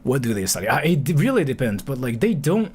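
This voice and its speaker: A man's voice: medium pitch, big pitch swings, darkish tone, slight background noise. A man in his thirties chatting casually as a livestream host, rambling and often correcting himself mid-thought. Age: 30s. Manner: rambling, halting, self-correcting, peppered with filler. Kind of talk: casual livestream chat and reaction commentary.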